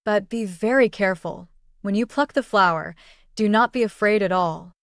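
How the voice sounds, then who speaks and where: A woman's voice: mid-range voice, normal speed, no room sound, studio quality. A woman reading a scripted fairy tale as its narrator. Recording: music under the voice. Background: prominent music.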